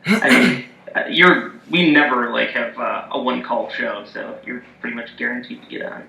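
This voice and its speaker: A young man lining up callers for a show, chatty, filler-heavy, and quick to laugh. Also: mid-range voice, gravelly, sharp tone. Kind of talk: casual chat. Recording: echoey room with faint noise.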